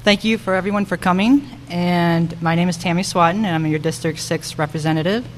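Woman, local politician, halting unpolished forum delivery, long room reverb and light background noise. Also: fairly low pitch, steady tone. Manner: halting, searching for words. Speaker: city council member and candidate. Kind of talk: semi-improvised campaign remarks at a public forum. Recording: echoey hall with background noise.

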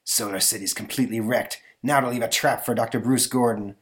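A man voicing a gloating male supervillain in a comic book read-aloud, low and calm. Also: brisk, close to an everyday voice.

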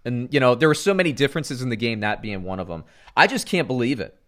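Animated middle-aged man from Florida. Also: mid-range voice, fast talker. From Central Florida. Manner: animated, swings from loud exclamations to muttered asides. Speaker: podcast co-host. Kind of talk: casual sports chat.

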